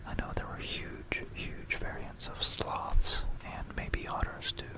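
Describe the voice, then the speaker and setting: An ASMR creator whispering about history in a hushed, rambling, musing way, close into a stereo microphone. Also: slow, poor audio. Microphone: close stereo binaural microphone.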